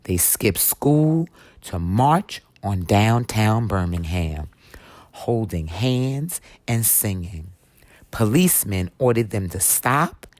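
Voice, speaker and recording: A woman reading a history book for children aloud as expressive storytelling, with deliberate, emphatic, dramatic phrasing. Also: rumbling low, dry recording.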